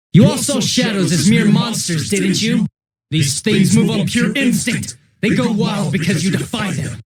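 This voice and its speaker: An American man playing a cynical, bitter young villain in a video game, with a husky voice and a flippant, contemptuous manner. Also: sits high, no echo, studio quality. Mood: enraged, unhinged. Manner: flippant, mocking, contemptuous drawl. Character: cynical, bitter, sneering young male villain turning unhinged.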